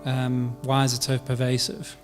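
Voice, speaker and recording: A man's voice: mid-range voice, monotone, moderate pace, soft background music. A male podcast host who opens and asks questions hesitantly, with many fillers. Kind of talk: podcast interview.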